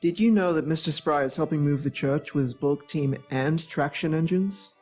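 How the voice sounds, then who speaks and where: Casting: woman as confident young 1910s country lad, low for a female voice, droll, period radio drama. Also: moderate pace, darkish tone, faint music behind. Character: Martin, cheeky, confident young country man of 1913. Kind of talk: nostalgic radio play acting.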